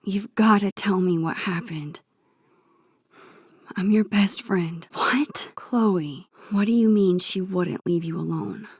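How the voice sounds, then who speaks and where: A shy, nerdy teenage girl from a video game, speaks softly with plenty of breath. She takes her time, sounding sweet and earnest with a gentle teasing streak. The sound is dry, with no room around it. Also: medium pitch, slightly rough, dry recording. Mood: concerned.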